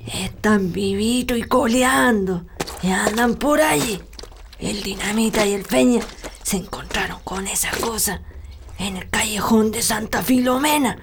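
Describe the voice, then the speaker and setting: Woman acting a slow-talking old Chilean healer granny. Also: medium pitch, vocal fry, noisy background. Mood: hushed, ominous. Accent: rural Chilean. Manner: scolding, colloquial, sometimes hushed. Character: bossy, mysterious old country healer grandmother. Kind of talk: radio drama acting.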